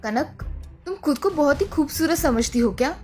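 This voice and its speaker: Man playing anxious scolding mom, high thin put-on voice, cartoon. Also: lively intonation, moderate pace, darkish tone, faint music behind. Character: worried scolding middle-aged mother.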